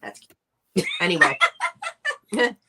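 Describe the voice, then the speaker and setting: A woman's voice: lowish voice, expressive, takes it slowly, very noisy. A woman co-hosting a podcast in casual chat, her voice nasal and stuffed up from a cold, laid-back and brief. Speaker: podcast co-host.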